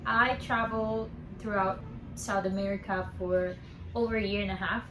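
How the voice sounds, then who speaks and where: Soft-spoken woman in an echoey room. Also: mid-range voice, fairly even intonation, moderate pace, noisy background. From Colombia. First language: Spanish. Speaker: singer-songwriter. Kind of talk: casual talk to camera.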